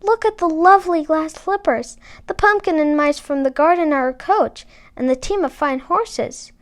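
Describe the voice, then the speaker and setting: Wistful, earnest young fairy tale heroine, high voice, exclamatory played by a female reader. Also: moderate pace, lightly acted. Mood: amazed. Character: young Cinderella, mistreated, wistful, wide-eyed and eager. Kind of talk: storybook character voice.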